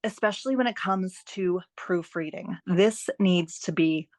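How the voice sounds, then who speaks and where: Expressive woman giving advice, close dry sound. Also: fairly low pitch, measured pace, dry recording. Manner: animated, emphatic, repeats phrases for stress; encouraging, playful asides. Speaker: podcast guest, writing and editing expert. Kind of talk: conversational interview, giving advice.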